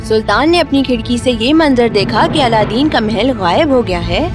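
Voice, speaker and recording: Woman storyteller reading kids fairy tale, highish voice, music in background. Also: expressive. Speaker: narrator. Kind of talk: scripted children's fairy-tale narration.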